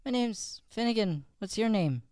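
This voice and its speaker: A man's voice: high-pitched, lively intonation, moderate pace, a little mellow, no room sound, clean studio sound. A man playing a curious, eager young Irish lad in an improvised radio play, speaking hesitantly and earnestly with an Irish accent. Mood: friendly, surprised. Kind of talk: improvised old-time radio play acting.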